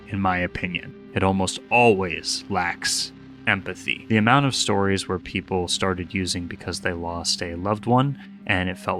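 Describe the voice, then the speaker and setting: Deep, bright-voiced man over music. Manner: informal, opinionated, mild swearing. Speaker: host. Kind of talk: video essay commentary with scripted story recap and casual asides. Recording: music under the voice. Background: background music.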